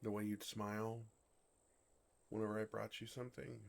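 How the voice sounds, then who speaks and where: A man playing a bashful, lovestruck male dragon in human form for an ASMR fantasy roleplay, speaking in a halting, earnest way. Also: fairly low pitch, little pitch movement, creaky. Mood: tender, wistful. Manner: flustered, halting, earnest delivery.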